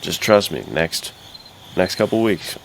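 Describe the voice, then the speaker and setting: A man with a very deep voice talks like an excited podcast co-host. His delivery is casual, slangy and bro-ish. Some noise sits behind him. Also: unhurried, creaky. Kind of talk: casual enthusiastic podcast chat.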